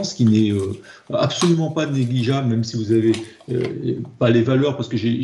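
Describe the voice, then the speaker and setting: A man's voice: medium pitch, dark tone. A man with a French accent explaining slides as a trainer, hesitant and often repeating words as he goes. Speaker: presenter, trainer in process improvement. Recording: dull, echoey room recording.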